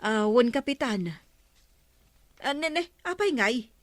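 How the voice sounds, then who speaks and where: A woman's voice: on the high side, expressive, slow pace, no room sound. A woman with an Ilocano Filipino accent playing a fussy village woman in a radio comedy drama.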